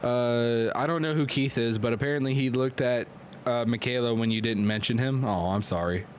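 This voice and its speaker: A man hosting a live stream and excitedly calling play-by-play sports commentary while losing his voice from a clogged nose. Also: medium pitch, slight background noise. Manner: excitable, hyped play-by-play with quick asides to chat. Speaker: league commentator and stream host.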